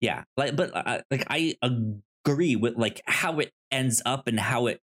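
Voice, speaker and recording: A man co-hosting a movie podcast, talking casually and haltingly, often repeating words as he thinks aloud. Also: mid-range voice, fairly bright tone. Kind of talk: casual film discussion.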